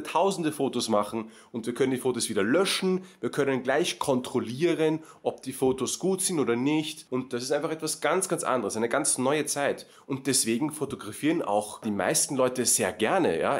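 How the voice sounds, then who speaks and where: A man talks learners through a lesson at a careful pace, restating each point in simpler words. His voice sits at a middling pitch, and he brightens a little when he acts out the questions learners might ask. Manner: repetitive, rephrases often, acts out example questions. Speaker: German teacher. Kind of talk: slow explanatory lesson for learners.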